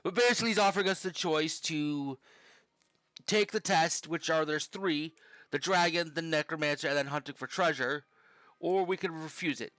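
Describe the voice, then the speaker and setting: A man's voice: a little high, moderate pace, a bit bright, no room sound. A young man giving casual, rambling commentary while playing a game, swearing offhandedly now and then. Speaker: gaming channel host.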